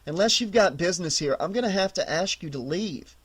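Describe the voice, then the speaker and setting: A man's voice: sits high, expressive, fairly quick, fairly bright tone, husky, no room sound, full character voice. A man voicing a harried young male store clerk who talks wary but tries to stay firm.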